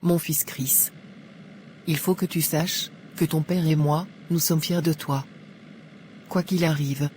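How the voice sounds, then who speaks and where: Woman acting a devout, gently counselling mother. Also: deep voice, fairly even intonation, takes it slowly, pauses often, a little hiss or hum. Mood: proud. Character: devout, proud middle-aged mother giving gentle counsel.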